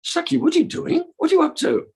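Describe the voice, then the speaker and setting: A man voicing bewildered, excitable young cowherd girls who press eagerly with repeated questions. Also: extremely high pitch, quick pace, vocal fry, voice pushed up, exaggerated voice. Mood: startled.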